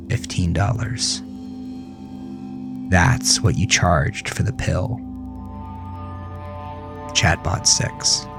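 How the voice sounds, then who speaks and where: A man's voice: low voice, creaky. A man narrating a horror story in a dramatic, grave and suspenseful manner. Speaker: author narrating his own horror fiction.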